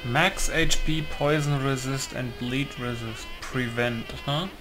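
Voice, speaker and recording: A man's voice: medium pitch, steady tone, takes it slowly, rough recording. Man musing through gameplay, music behind. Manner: musing aloud, asking himself questions. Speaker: gaming video host. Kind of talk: casual gameplay commentary.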